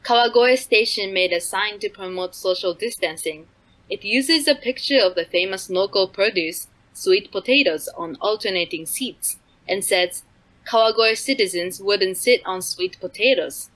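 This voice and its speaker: A woman's voice: mid-range voice, moderate pace, a bit bright, low-quality recording. A woman co-hosting a comedy news show, delivering absurd jokes in a matter-of-fact way. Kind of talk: scripted comedy news reading.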